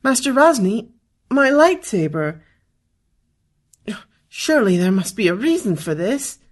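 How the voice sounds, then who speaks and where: Imperious, gloomy young villainess for sci-fi radio play, played by a woman, slow delivery, scornful and menacing. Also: on the high side, sing-song. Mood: flustered. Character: haughty, menacing, gloomy teenage female Sith apprentice. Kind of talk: science-fiction audio drama acting.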